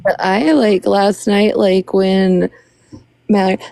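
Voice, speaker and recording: A woman's voice: mid-range voice, steady tone, takes it slowly, gravelly, warm tone, no room sound. A woman chatting casually as a panelist on a gossip livestream.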